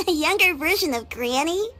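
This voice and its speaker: A woman's voice: very high voice, bright, forceful tone, exaggerated voice. A woman voicing a polite, caring young child in a video game, hesitant and earnest in manner. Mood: curious.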